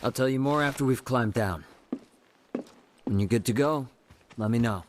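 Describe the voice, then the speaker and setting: An actor playing a cool, guarded underworld operator in a video game, terse and unruffled. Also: male voice, mid-range voice, normal speed, a little hiss or hum, natural voice. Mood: coolly indifferent.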